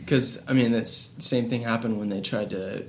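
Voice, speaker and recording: A man's voice: medium pitch, steady tone, moderate pace, darkish tone. A man co-hosting a podcast in casual banter, giving blunt opinions. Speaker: podcast co-host.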